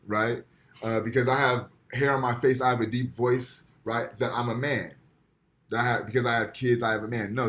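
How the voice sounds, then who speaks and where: A man's voice: mid-range voice, fairly bright tone. A man with an ordinary mid-range voice teaches a university class over a video call. He explains patiently, point by point, and keeps checking in with his students by asking "right?". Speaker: university lecturer. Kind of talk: online lecture. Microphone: computer mic on video call.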